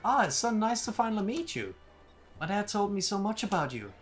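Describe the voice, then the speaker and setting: A polite, eager young woman who is new to an island in a video game, read by a streamer with a Danish accent. She speaks in a middle-pitched, everyday tone. Faint game music plays behind her. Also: sing-song, brisk, close to an everyday voice.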